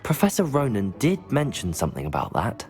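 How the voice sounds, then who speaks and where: A man's voice: lowish voice, huge pitch swings, fairly quick, darkish tone. A man voicing a polite, eager young student wizard in a fantasy video game, courteous in manner. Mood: polite, reserved. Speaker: actor voicing a game character. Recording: game music underneath.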